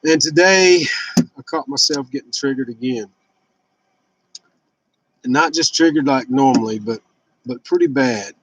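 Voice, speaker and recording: A man's voice: mid-range voice, measured pace, pauses often, lo-fi sound, noisy background. A man in his fifties talking casually and candidly in a rambling, blunt, confessional personal vlog. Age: 50s. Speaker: vlogger sharing fasting and fitness journey.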